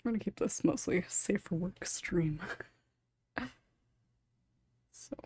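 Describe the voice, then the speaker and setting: A woman's voice: lowish voice, lively intonation, takes it slowly, dark tone, breathy edge. A woman hosting a casual group podcast, chatty and often giggly. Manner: giggly, laughs often between remarks. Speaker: podcast host.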